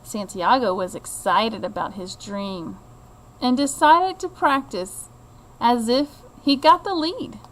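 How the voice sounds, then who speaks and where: Slow, higher-voiced woman reading a storybook. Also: slight background noise, poor audio. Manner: slow, expressive, deliberate reading to a child. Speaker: teacher reading a picture book aloud. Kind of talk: children's storybook read-aloud.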